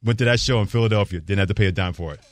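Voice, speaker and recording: A man's voice: lowish voice, rapid-fire, no room sound. A middle-aged man hosting sports talk radio in a casual, bantering style.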